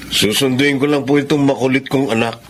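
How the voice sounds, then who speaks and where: A man's voice: medium pitch, steady tone, brisk, fairly bright tone, natural voice. A respectful young father played by a male actor in a Filipino radio drama. He talks politely in a mid-range voice, with some noise in the background. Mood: polite, warm. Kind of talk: Tagalog radio drama acting.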